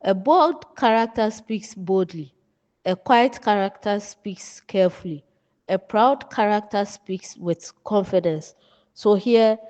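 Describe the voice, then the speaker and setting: A woman with a Ghanaian accent giving an instructional lecture as a writing teacher, in deliberate, emphatic, measured phrases. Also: mid-range voice, a little mellow. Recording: dull, muffled, long room reverb.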